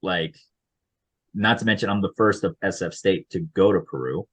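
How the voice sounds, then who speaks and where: A man's voice: sits low, moderate pace, fairly bright tone, slightly rough. A man from the United States, a graduate student researcher, talking casually on a video call with many restarts and little chuckles. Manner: rambling, frequent restarts, chuckles. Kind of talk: casual panel chat.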